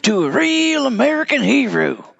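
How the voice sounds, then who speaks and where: An actor playing an eager, awkward, starstruck fan in an audio drama, polite and gushing in a halting way. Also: male voice, extremely high pitch, very animated, vocal fry, fairly bright tone, dull audio, exaggerated voice.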